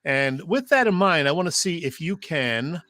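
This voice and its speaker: A man's voice: fairly high pitch, expressive, normal speed, pushed and bright, no echo. A man co-hosting a sports show with casual, conversational talk and questions. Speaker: sports radio show co-host.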